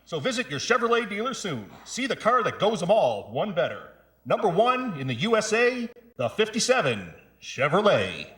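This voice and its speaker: A man's voice: sits high, wildly swooping pitch, moderate pace, fairly bright tone, roomy sound. A man speaking as a 1950s radio disc jockey, introducing records and reading a car commercial in an upbeat, salesman-like announcer style. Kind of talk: 1950s radio DJ patter and ad read.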